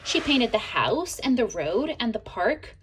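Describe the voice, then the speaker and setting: A woman reading a children's picture book aloud in an expressive way, as a teacher would to young listeners. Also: on the high side, a little hiss or hum. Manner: expressive, pauses to ask listeners questions. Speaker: storybook reader, teacher.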